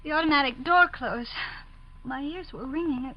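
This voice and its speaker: On a dull vintage radio recording, a brave young woman officer, hurt and shaken. Her voice is high, with the earnest delivery of 1950s radio drama. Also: normal speed. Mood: pained, shaken. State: weak and shaken. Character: brave, injured young pregnant woman lieutenant.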